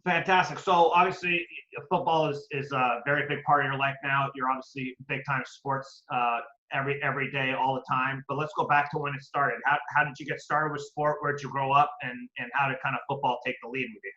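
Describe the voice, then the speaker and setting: A male interview host with a bright, forceful voice asks friendly, loosely worded questions. His audio is dull and lo-fi, with a roomy echo. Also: medium pitch, fairly even intonation, fairly quick. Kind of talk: casual interview. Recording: echoey, dull lo-fi sound.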